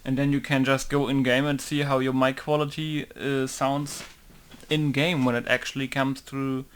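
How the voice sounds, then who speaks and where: A man's voice: medium pitch, flat delivery, normal speed, slight background noise. A man casually talking through a game modding tutorial in an informal, rambling way, swearing now and then. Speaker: game modder.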